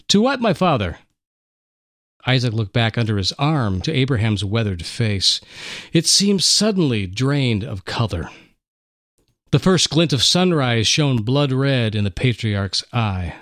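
A man co-hosting a radio program, narrating a story in an expressive, theatrical reading. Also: mid-range voice, no room sound, clean studio sound. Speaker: co-host, dramatic reader.

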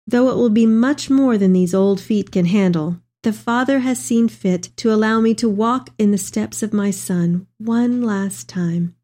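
A woman's voice: medium pitch, unhurried, few pauses, dark tone, close to an everyday voice. A woman playing an elderly, devout mother in a dramatic reading of letters to her son, with tender, heartfelt delivery. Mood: tender, hopeful. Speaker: dramatic reader. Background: music.

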